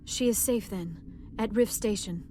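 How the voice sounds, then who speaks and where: An actress playing an earnest, proper young alien scholar in a video game cutscene. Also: female voice, medium pitch, measured pace, dark tone, slight background noise, close to an everyday voice. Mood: earnest, cautious. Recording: game music behind the voice.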